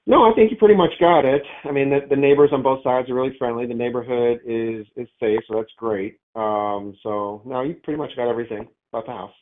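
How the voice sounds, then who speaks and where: A man's voice: mid-range voice, fairly quick, few pauses. A man, a property owner, chatting casually on a phone call in an easygoing, hesitant way, thinking aloud as he talks. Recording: narrow, tinny phone line.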